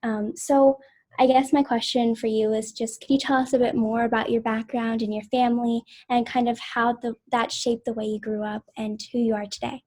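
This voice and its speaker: A woman hosts a conversational interview. Her voice sits a little high and stays quite flat in intonation as she responds and poses questions. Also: moderate pace. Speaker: interviewer, podcast host.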